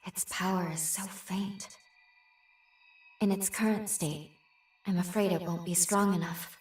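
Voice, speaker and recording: A woman playing a calm analytical researcher. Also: fairly low pitch, natural voice. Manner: measured, reasoning aloud. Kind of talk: game cutscene voice acting. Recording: roomy, slightly distant, light music.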